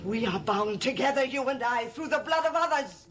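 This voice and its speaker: A woman playing a centuries-old scheming servant of dark powers in a gothic soap opera, pleading and menacing with formal, imperious phrasing. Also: sits high, animated, normal speed, slight background noise. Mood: desperate pleading.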